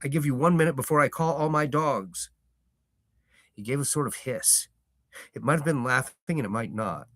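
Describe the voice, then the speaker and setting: A man voicing a proud, stubborn old hobbit farmer who tells his tale with slow relish and rustic grammar. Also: medium pitch, fairly bright tone, dry recording, clean studio sound, voice pushed up, close to an everyday voice. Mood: indignant. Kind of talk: reading a novel aloud.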